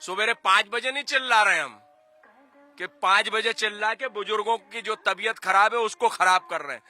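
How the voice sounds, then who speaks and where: A man's voice: sits high, moderate pace, pushed and bright, soft background music. A man arguing emphatically in a street interview, repeating himself and asking rhetorical questions. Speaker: activist spokesman for a cultural-religious organisation. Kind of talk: street news interview, opinionated argument.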